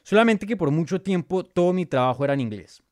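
A man's voice: high-pitched, expressive, fast talker, darkish tone, no echo. A Colombian-born man hosting a live Q&A, reading viewer questions aloud and answering them in a chatty way, with many fillers and restarts. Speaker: MMA journalist and show host.

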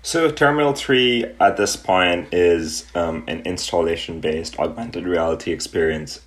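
A young man from Pakistan, an artist being interviewed, who answers thoughtfully with frequent ums and pauses. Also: deep voice. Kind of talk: relaxed reflective interview answers.

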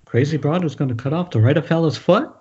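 A man acting as a manic, sweary horror writer with a slightly high voice. It is a table read over a dull internet call with some hiss. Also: fast talker, warm tone, close to an everyday voice. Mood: manic, scattered. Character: manic, foul-mouthed, coke-fuelled male horror writer. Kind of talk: amateur table read of a screenplay.

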